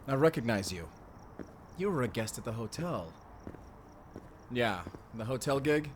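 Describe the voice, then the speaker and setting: An actor playing a brave, guarded vampire slayer in an audio roleplay, terse and defiant. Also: male voice, mid-range voice, expressive, measured pace, slight background noise, close to an everyday voice. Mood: hurried, explaining. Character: brave, gruff mysterious Spanish male vampire slayer. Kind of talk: cinematic audio roleplay acting.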